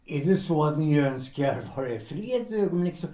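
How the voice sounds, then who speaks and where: An actor playing a polite café pianist in an audio drama, formal and drily teasing. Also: male voice, a little high, expressive, warm tone, close to an everyday voice. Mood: wry. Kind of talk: Swedish radio drama dialogue. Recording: echoey room.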